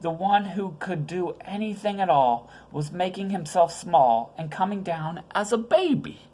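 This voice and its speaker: A woman's voice: fairly low pitch, animated, unhurried, warm tone. A woman voicing a tall, glad male archangel in a storybook, reassuring and proclaiming his news.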